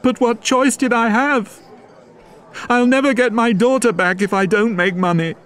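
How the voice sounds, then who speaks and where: A man voicing a poor, desperate young mother in an audiobook story, in a high, strained falsetto. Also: steady tone, normal speed, fairly bright tone. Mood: bitter.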